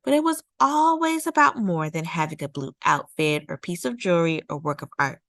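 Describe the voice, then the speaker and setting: A woman narrating a children's nonfiction picture book as a storytime reader, with measured, deliberate phrasing. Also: lowish voice, animated, a bit bright, no room sound, clean studio sound. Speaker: storytime read-aloud host.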